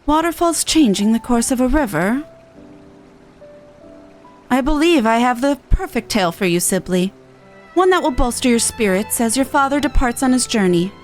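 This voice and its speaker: A woman voicing an earnest, kindly festival envoy from a fantasy game, with formal, archaic, measured phrasing. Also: a little high, lightly acted. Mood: encouraging. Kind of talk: reading game dialogue aloud.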